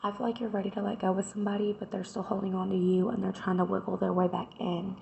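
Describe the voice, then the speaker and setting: A woman, hoarse from a cold, doing a casual tarot reading and chatting straight to her viewers. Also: mid-range voice, flat delivery, quick pace, mellow, a little hiss or hum. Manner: chatty, addresses viewers directly with repeated "right?". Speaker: tarot reader.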